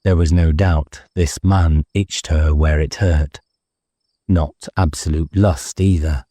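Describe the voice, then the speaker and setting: A man's voice: very deep voice, steady tone, slow pace, mellow, husky, no echo. A man narrating a novel dramatically as an audiobook reader, close to the microphone.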